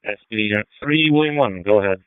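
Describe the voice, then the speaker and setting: A man's voice: fairly low pitch, lively intonation, quick pace, smooth, no echo. A man talking in brief, matter-of-fact exchanges over a two-way radio. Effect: radio transmission. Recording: narrow-band scanner radio.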